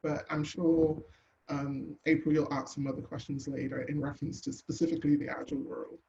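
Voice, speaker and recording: A woman's voice: low voice, little pitch movement, a little fast, dark tone, a little hiss or hum. Emphatic woman, slightly dull sound. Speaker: panelist. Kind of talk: panel discussion.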